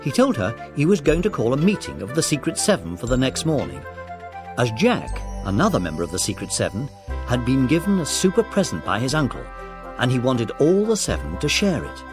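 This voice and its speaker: A male narrator tells a children's audiobook story in a lively, varied voice of medium pitch. Music plays behind him as he reads from the script. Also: fairly quick.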